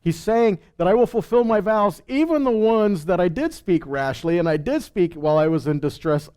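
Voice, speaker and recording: A man's voice: high-pitched, lively intonation, normal speed, a little mellow, clean tone. A man giving an informal Bible teaching, reading scripture and commenting in a conversational way with rhetorical questions and frequent check-ins.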